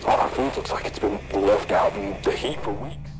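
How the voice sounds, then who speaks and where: A man playing a plain-spoken, uneasy construction worker leaving halting voice messages in an analog horror series. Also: fairly low pitch, loud music behind the voice, close to an everyday voice. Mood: troubled. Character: uneasy blue-collar male construction worker, reporting strange happenings. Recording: loud radio-like static and site noise.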